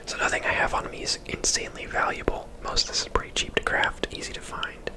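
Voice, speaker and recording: A person whispering casual, chatty game commentary in an ASMR style, rambling off into asides, close to the microphone. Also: fast talker. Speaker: video game streamer and host.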